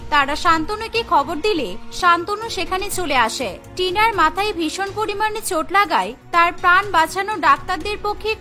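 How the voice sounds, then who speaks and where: A woman tells a cartoon story as scripted narration. Her voice is very high and bright, and music plays clearly behind her.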